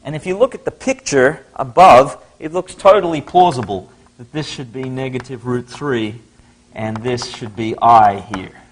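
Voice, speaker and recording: A man's voice: mid-range voice, normal speed, low-quality recording. A middle-aged man with an Australian accent, giving a live maths lecture in a chatty way, thinking aloud at the board.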